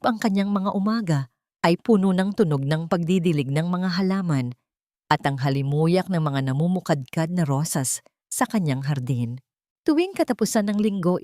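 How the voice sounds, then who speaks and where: A woman narrates dramatic fiction in a fairly low voice. Her phrasing is deliberate and sentimental, like scripted storytelling. The sound is dry, with very little room around it. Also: warm tone, no echo.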